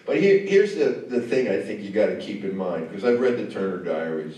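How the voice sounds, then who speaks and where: A man, a film director, answering questions on stage after a screening, talking in a halting way with many fillers and restarts. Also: lowish voice, expressive, warm tone. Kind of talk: on-stage conversation. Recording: echoey hall, mic far off.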